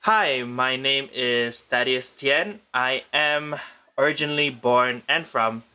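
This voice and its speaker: Bright-voiced young man from Malaysia. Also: mid-range voice, smooth. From Penang, Malaysia. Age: young adult. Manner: measured, thoughtful, with frequent restarts. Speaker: guest musician. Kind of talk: casual interview answers. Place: remote location in the Philippines.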